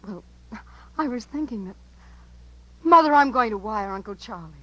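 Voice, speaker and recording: A woman's voice: fairly high pitch, animated, moderate pace, sharp tone, dull audio. Earnest, dreamy young girl. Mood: restless, earnest. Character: earnest, restless, dreamy small-town teenage girl. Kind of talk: 1950s radio drama narration and dialogue. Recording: faintly noisy 1950s radio broadcast.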